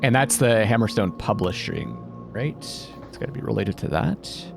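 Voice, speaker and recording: A man's voice: lowish voice, lively intonation, moderate pace, vocal fry. A man hosting a let's play, expressively thinking aloud and reading game text. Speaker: gaming video host. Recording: game music behind voice.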